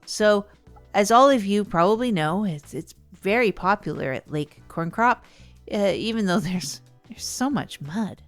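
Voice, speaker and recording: A woman acting as an awkward comedy radio host with a middling-pitched voice. She rambles conversationally, hesitating and doubling back as she talks. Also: expressive, a little slow, faint music behind, close to an everyday voice. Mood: chatty, rambling.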